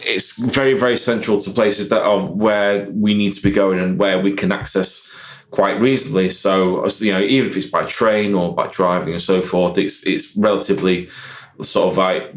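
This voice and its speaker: A man's voice: sits low, steady tone, moderate pace, reverberant. A man, a guest musician rambling in casual podcast chat and repeating "like I said". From Yorkshire, England. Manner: rambling, keeps saying "like I said".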